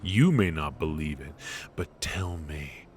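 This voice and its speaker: Very deep, unhurried smug mafia boss played by a man. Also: expressive, a little hiss or hum, heavily acted. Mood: gloating, teasing. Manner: unhurried, taunting, drawling with pauses and soft chuckles. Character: suave, ruthless mafia boss, smug, teasing, menacing. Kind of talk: noir roleplay audio drama, ASMR.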